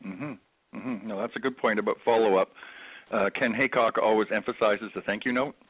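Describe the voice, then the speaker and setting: A man's voice: on the low side, fairly even intonation, fairly quick, husky, no echo. A man hosting a call-in discussion, chatty, with lots of fillers and restarts. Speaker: host of a call-in webinar. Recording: phone-line audio.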